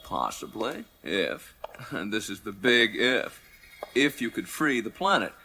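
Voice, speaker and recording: A man's voice: lowish voice, very animated, measured pace, background noise. A man playing a prim, evasive, spindly alien company director in a radio play, speaking in a formal, fussy, condescending way. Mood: fussy, explanatory. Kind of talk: radio play character acting.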